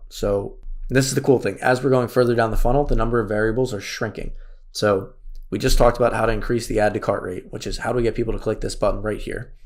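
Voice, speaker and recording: A man with a raspy voice, losing it, casually walking through an online store tutorial with many fillers. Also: lowish voice, darkish tone. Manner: casual, thinks aloud with fillers. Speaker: course instructor. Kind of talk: screen-share tutorial walkthrough.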